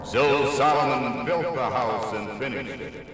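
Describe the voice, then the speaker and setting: A man reading scripture in a solemn, stately, deliberate style. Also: mid-range voice, a bit bright. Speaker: scripture reader. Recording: cavernous echo, distant, faint noise.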